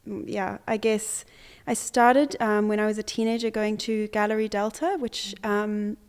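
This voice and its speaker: Young woman from Zimbabwe, artist, interview answers, hesitant, full of ums, medium pitch. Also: level tone, normal speed, smooth. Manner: hesitant, many fillers and restarts. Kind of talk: conversational interview.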